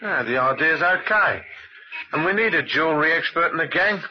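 An actor playing a cocksure, coolly threatening gang boss, commanding and clipped, in an old-time radio crime drama. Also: male voice, on the high side, expressive, a little fast, close to an everyday voice. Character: menacing, cocksure razor-carrying male gang boss. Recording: dull, noisy vintage radio recording.

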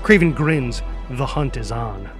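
A man's voice: medium pitch, expressive, measured pace, music in the background. A man reading stage directions as dramatic scripted narration of action scenes.

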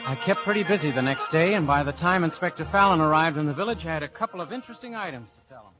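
A man plays a breezy, earnest American investigator telling his case. His voice sits fairly high. It is 1950s radio acting, dull in sound with faint music behind. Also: lively intonation, rapid-fire, lightly acted. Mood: narrating.

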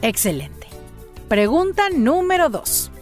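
A woman's voice: high-pitched, very animated, slow, faint music behind. A woman hosting a children's story channel, running a playful quiz and praising each answer in a coaxing way.

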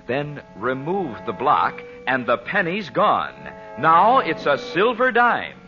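A man's voice: fairly high pitch, huge pitch swings, slow pace, sharp tone. An American man announcing and narrating in a theatrical, emphatic old-time radio style. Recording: dull old radio recording with music.

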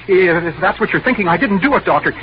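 An actor playing a desperate, haunted husband accused of a crime, stammering, pleading and brooding in a 1940s radio drama. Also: male voice, high-pitched, expressive, fast, muffled recording, noisy background. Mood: panicked pleading. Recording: vintage 1940s radio recording, music behind.

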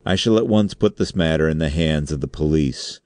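A man's voice: extremely low pitch, brisk, dark tone, dry recording, clean studio sound. A man voicing a stern, grave board chairman and magistrate in an audiobook story, speaking formally and with authority. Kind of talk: audiobook character acting.

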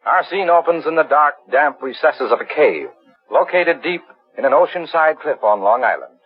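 A man's voice: fairly high pitch, animated, moderate pace, slightly rough, dry recording. A man announcing and narrating a 1940s radio drama in a formal, dramatic manner. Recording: muffled 1940s radio broadcast. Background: loud music.

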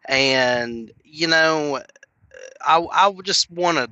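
Young man with a cold, rambling casual podcast rant, bright tone. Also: a little high, takes it slowly, no room sound, lo-fi sound. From Knoxville, Tennessee. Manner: rambling, filler-heavy, earnest. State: sniffly from a cold. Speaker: podcast host.

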